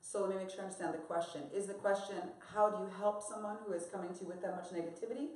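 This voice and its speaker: A woman's voice: mid-range voice, very fast, darkish tone. An American woman on a live panel, talking candidly and reflectively about her own experience. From United States. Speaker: panel guest. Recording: echoey room.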